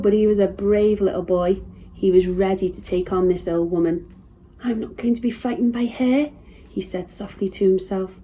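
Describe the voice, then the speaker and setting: A woman's voice: mid-range voice, mellow, rough recording. A woman from a school's staff reading a children's storybook aloud with expression.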